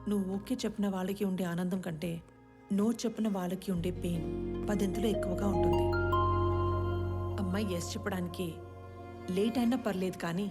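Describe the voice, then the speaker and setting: A woman's voice: fairly low pitch, fairly even intonation, brisk, pauses often, loud music behind the voice, natural voice. An actress playing a concerned middle-aged mother who questions and advises matter-of-factly in a family film drama. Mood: advising. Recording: loud film score over dialogue.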